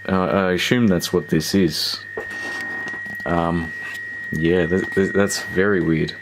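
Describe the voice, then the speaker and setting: A man with a low-pitched voice hosts a casual repair vlog. He speaks hesitantly, working things out aloud as he goes. The recording carries clear background noise and an electrical hum. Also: steady tone, takes it slowly. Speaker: host of a radio repair video.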